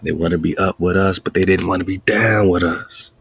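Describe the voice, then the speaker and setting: A man hosting a podcast, riffing excitedly in casual commentary with wordplay and frequent laughter. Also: deep voice, brisk, creaky, husky, no room sound, studio quality. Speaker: podcast host.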